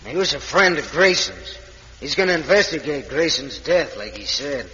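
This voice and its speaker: An actor playing a surly, scheming Old West villain with an American accent in an old radio western. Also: male voice, a little high, animated, normal speed, bright, forceful tone. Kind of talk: 1950s radio western acting. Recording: echoey, dull old radio recording.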